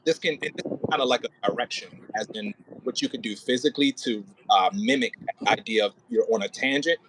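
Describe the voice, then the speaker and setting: Male audio play director, mid-pitched voice, casual and informal, coaching an actor through line delivery. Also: a little fast, background noise. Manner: informal, demonstrates lines by acting them out.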